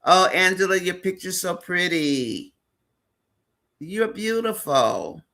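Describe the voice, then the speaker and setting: A man's voice: high voice, sing-song, slow pace, bright, forceful tone. A man hosting a live stream, chatting casually and rambling with frequent fillers.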